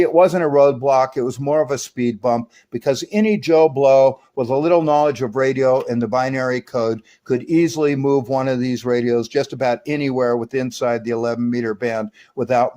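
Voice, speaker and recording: A man's voice: on the high side, darkish tone. A middle-aged or older man narrating an informal technical demonstration as a repair technician, plain-spoken and folksy with plenty of slang. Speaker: host, radio repair technician.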